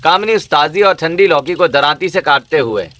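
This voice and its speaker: A man's voice: on the high side, lively intonation, moderate pace, fairly bright tone. A man reading scripted narration for a story, as the narrator.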